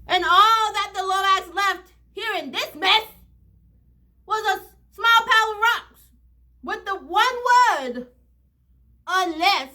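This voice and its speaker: A woman's voice: very high voice, slow pace, long pauses, pushed and bright. A woman reading a picture book aloud to children, expressively and in short dramatic phrases, often speaking to them directly.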